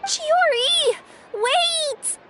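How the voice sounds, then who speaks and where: A woman voicing an anxious, kind young cat-girl courier in a video game, hesitant and soft-hearted. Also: very high voice, lively intonation, extremely slow, heavy background noise, heavily acted. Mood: worried. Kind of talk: English video game voice acting.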